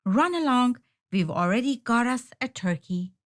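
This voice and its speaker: A woman voicing an excitable, soft-hearted farmer man in a storybook, exclaiming in folksy fashion. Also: a little high, unhurried. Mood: shooing.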